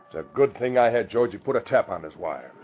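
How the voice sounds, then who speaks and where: An actor playing a tough, sneering mob boss who gives slangy orders, in 1940s radio drama style. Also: male voice, on the low side, animated, fairly quick, slightly rough. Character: beefy, cold, sneering racketeer boss. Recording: muffled old radio recording.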